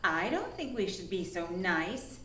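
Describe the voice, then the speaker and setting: A woman's voice: fairly low pitch, very animated, measured pace, sharp tone, echoey room, distant mic, slight background noise, exaggerated voice. Woman playing a sly, swooping coyote trickster. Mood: dismissive. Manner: wheedling, persuasive, dismissive. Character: sly male coyote trickster, lying and scheming.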